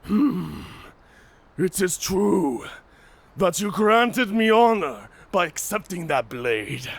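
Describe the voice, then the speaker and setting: A savage male werewolf for an audio roleplay, proud and taunting. He draws out his formal challenges very slowly in a husky, rasping growl. His delivery is theatrical and menacing, with big swings in pitch. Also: expressive, exaggerated voice. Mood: suspicious, proud. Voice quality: gravelly, raspy growl. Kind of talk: cinematic audio roleplay acting.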